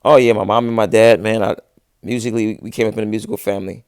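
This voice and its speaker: A man's voice: fairly low pitch, steady tone, racing, a little mellow, dry recording, clean studio sound. A man in his thirties chatting casually on a livestream, answering viewers with laid-back slang. He says he is losing his voice, and his talk is full of restarts. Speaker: livestream host, musician.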